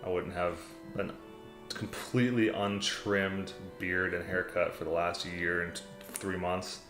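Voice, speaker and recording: A young American man with a low voice chats loosely on a livestream, reading viewers' messages and calling everyone "dude" and "man." The room sounds echoey, and classical music plays clearly behind him. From United States. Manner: laid-back, rambling, says "man" and "dude" often. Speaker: livestream host reading chat. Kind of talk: casual livestream chat. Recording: roomy echo, classical music playing behind.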